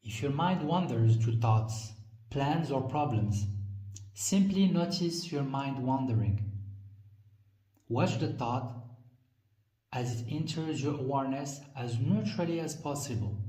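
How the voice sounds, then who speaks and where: Male meditation guide, mid-pitched and unhurried, reading calmly in measured phrases, recorded at a distance in an echoey space. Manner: calm, measured phrasing with frequent pauses. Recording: roomy echo, distant from the mic.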